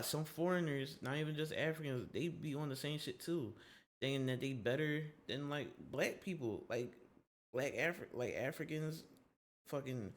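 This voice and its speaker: A man streaming a reaction video, talking in a loose, rambling, slangy and frank way. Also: medium pitch. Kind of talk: casual reaction commentary.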